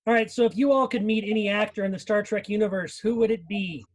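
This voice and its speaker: A man's voice: high-pitched, quick pace, rough recording. A man hosting a casual live question-and-answer session, putting questions to a panel. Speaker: host putting questions to a cast.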